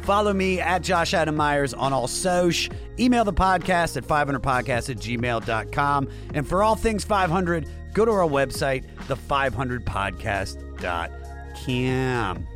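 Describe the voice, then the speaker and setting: A man hosting a music podcast in an excitable, casual, slangy way with lively banter. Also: medium pitch, unhurried. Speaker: podcast host.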